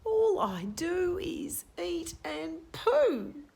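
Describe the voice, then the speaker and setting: Lady doing a puzzled boy cassowary from a picture book, earnest n polite, slow and swoopy. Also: extremely high pitch, very animated, vocal fry, a little mellow, a little hiss or hum, pitched above the performer's own voice, exaggerated voice. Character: earnest, puzzled male cassowary searching for his purpose.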